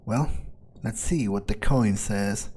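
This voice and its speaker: Male gameplay roleplayer, unhurried and mellow, casually menacing, musing in character over faint music. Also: on the low side. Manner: hesitant thinking aloud, casually menacing. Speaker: gaming video player.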